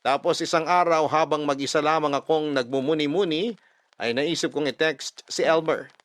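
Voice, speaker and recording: A man narrating a first-person love story dramatically, expressive and emphatic, mixing Tagalog and English. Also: medium pitch, dry recording. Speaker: storyteller reading a listener's letter.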